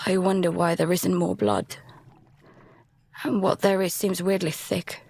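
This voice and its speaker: A woman acting as a frightened young survivor telling an audio drama in the first person. The voice is breathy and husky, with a slow, halting delivery that sounds worn out. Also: lowish voice, sing-song, a little mellow, exaggerated voice. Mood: hushed, drained dread. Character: frightened exhausted young survivor adrift at sea.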